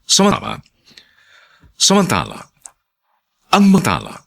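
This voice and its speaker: A man with a Filipino accent narrating a radio drama as its announcer, in a dramatic, deliberate scripted delivery. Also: lowish voice, wildly swooping pitch, vocal fry, slightly rough.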